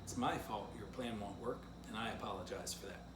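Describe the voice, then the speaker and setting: A man voicing a patient, tactful male dog leader who flatters gently in a children's storybook. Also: fairly low pitch, brisk, roomy sound, distant mic, noisy background, close to an everyday voice. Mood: apologetic.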